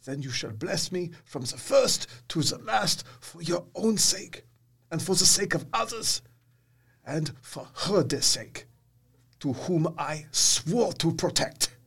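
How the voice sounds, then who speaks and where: A man's voice: a little high, lively intonation, slow, vocal fry, fairly bright tone, husky, full character voice. An actor playing an earnest, fatherly older professor, grave and mysterious, with solemn, emphatic and formal phrasing. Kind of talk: period audio drama acting.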